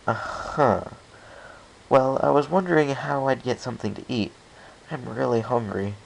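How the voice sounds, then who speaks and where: A man's voice: mid-range voice, normal speed, gravelly, a little hiss or hum, voice pushed up, lightly acted, low-quality recording. A man voicing an awkward, shy, polite young male storybook pony with a hesitant manner. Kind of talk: storybook character voice in fan fiction reading.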